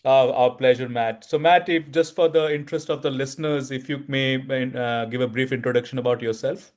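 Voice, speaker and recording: A man's voice: medium pitch, fairly even intonation, brisk, a little mellow. A man hosts an interview over a webcam. He asks polite, formal questions with a mid-range voice and stops often on fillers. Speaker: interview host, book co-author. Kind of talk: conversational video-call interview. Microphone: video call, webcam.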